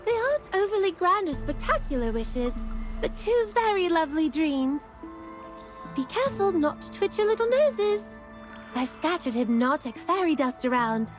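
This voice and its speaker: Very high-voiced, teasing little fairy. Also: female voice, sing-song, moderate pace, over music. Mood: theatrical. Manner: theatrical, teasing. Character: playful teasing female fairy, bored and mischievous. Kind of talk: scripted game voice acting.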